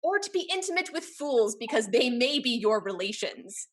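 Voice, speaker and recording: A woman playing a free-spirited, witty heiress in a Restoration comedy, with an arch, theatrical and deliberate delivery. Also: fairly high pitch, big pitch swings. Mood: imperious, teasing. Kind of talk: Restoration comedy stage dialogue.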